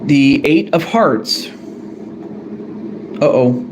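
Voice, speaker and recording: A man's voice: medium pitch, expressive, extremely slow, noisy background. A man casually drawing cards on a live stream, hesitant and apologetic, often correcting himself. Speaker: streamer drawing cards for a fan wrestling tournament.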